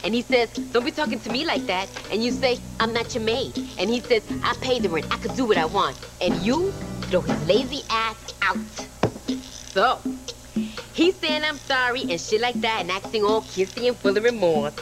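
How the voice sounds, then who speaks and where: A woman's voice: fairly high pitch, expressive, moderate pace, lightly acted. An actress playing a brash, streetwise woman TV astrologer, giving blunt, sassy comic horoscopes. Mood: combative, sassy. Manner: blunt, sassy, profane, acts out arguments. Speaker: TV horoscope host. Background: loud music.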